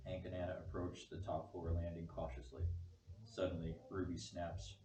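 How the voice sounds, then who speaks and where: Deep-voiced man reading stage directions, distant. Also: monotone, normal speed, crowd noise. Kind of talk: scripted narration at a table read. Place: echoey room at a group table read. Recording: distant mic, echoey noisy room.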